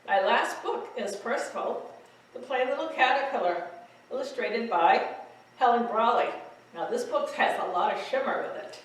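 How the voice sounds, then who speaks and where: Librarian lady reading kids a bedtime story, kinda high voice, echoey room. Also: expressive, normal speed. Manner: gentle, friendly storytelling. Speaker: children's librarian reading stories.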